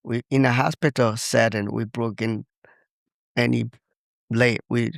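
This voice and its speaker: Slow, creaky older man, Chinese accent. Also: medium pitch, steady tone, no echo. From China. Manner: simple non-native English in short halting phrases. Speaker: guest, traditional Chinese medicine practitioner. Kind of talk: podcast interview, personal storytelling.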